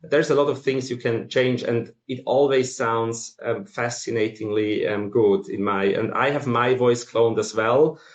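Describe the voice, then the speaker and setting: A man with a Swiss accent giving an explanatory presentation in a halting way, with frequent ums. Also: mid-range voice, fairly even intonation, lo-fi sound. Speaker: masterclass presenter. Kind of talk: explanatory conference presentation for non-technical listeners. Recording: roomy, echoing sound.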